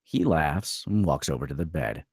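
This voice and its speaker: A man's voice: rumbling low, lively intonation, moderate pace, gravelly, darkish tone, a little hiss or hum. A man reading screenplay stage directions as dramatic scripted narration.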